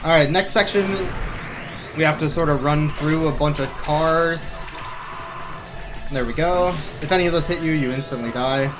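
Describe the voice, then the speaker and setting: A man's voice: fairly high pitch, moderate pace, music in the background. A man giving casual, wry, offhand commentary on a live video game speedrun. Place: live gaming event stream with game music behind.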